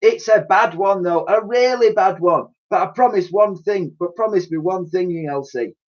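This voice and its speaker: A man's voice: sits high, moderate pace, pitched above the performer's own voice, lightly acted. A man voicing a self-pitying, mock-heroic old soldier in a storybook, overwrought and pleading. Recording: dull, lo-fi sound.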